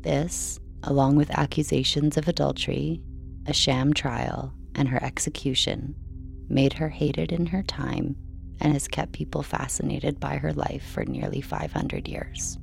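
Very low, gravelly woman narrating calmly. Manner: calm, measured, soothing delivery. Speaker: narrator, bedtime story reader. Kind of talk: calm scripted sleep narration. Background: faint music.

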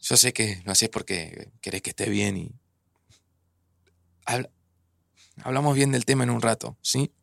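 Earnest Argentine friend, gravelly edge. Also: male voice, lowish voice, a little slow, pauses often, no room sound, clean studio sound. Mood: tender, flustered. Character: caring, earnest man, old friend of a time traveller. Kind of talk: naturalistic audio fiction acting. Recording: music under the voice.

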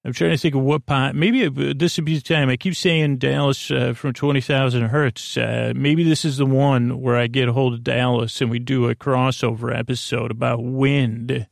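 A man with a mid-pitched, gravelly creak talks in a dry, echo-free recording. He rambles as a bedtime podcast host would, hesitating, drifting off course and circling back to where he was. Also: steady tone, normal speed, few pauses. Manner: meandering, hesitant, keeps losing his thread and doubling back.

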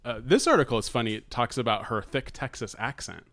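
A man with an American accent talking casually as a podcast co-host, halting and often restarting his sentences as he recounts things. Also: mid-range voice, animated, a bit bright, dry recording. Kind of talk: casual conversational recounting of an article.

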